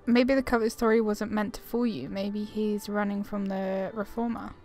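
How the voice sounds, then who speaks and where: A woman's voice: mid-range voice, fairly even intonation, moderate pace, darkish tone. A woman giving casual commentary on her own game playthrough and reading dialogue choices aloud, easily amused. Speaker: gamer narrating own playthrough. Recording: music behind the voice.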